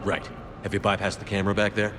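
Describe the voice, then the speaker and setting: An actor playing a dry-witted, confident military commander in a video game, speaking curtly and deadpan. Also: male voice, fairly low pitch, fast talker, background noise, lightly acted. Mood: cool, matter-of-fact. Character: steady, dry-witted male military commander, space hero. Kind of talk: cinematic video game dialogue.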